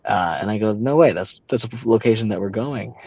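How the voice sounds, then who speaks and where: A young man with a very low voice tells a story haltingly, stopping and restarting often. The sound is dry and dull, as if coming through a remote call, with no room echo. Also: darkish tone. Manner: halting, many fillers and restarts. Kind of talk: casual storytelling.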